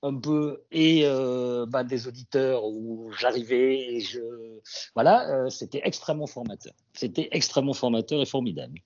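A man with a southwestern French accent, a radio journalist giving reflective answers as an interview guest, halting and restarting as he thinks aloud. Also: mid-range voice, darkish tone, no room sound. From France. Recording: dull, dry lo-fi sound.

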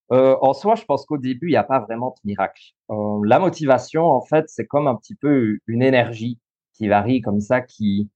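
Belgian man, thirty, explaining calmly. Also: medium pitch, lo-fi sound. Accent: Belgian French. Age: 30s. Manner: explanatory, many fillers and restarts, easy laughs. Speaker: guest, school coach and social worker. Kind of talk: podcast interview.

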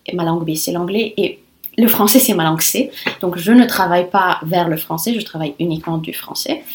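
Young interpreter woman, arabic and italian native, kinda low voice, thinks out loud, restarts a lot. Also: brisk. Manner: thoughtful, hesitant, with restarts. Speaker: conference interpreter. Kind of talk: interview answers.

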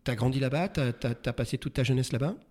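A man's voice: medium pitch, fast, darkish tone. A man hosting a casual interview, chatty, with many repeated words and fillers. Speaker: host. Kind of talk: casual interview, warm banter.

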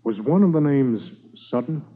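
Casting: dogged Mountie sergeant, mid-pitched, clipped and respectful, old band-limited radio sound. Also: male voice, animated, normal speed, breathy edge. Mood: businesslike. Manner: clipped, matter-of-fact. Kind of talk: 1950s radio drama acting. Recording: dull narrow-band old radio recording.

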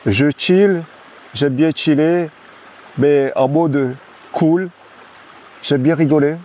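Male guest, casual outdoor interview, laid-back, halting delivery with many fillers. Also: a little high. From French Guiana. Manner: hesitant, many restarts and fillers, relaxed.

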